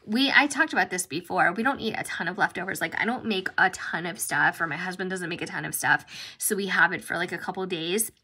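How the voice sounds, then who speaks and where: A woman's voice: on the low side, a little fast, pushed and bright, slightly rough. An American woman, a middle-aged vlogger, chatting casually and emphatically in a conversational way as she narrates her planning.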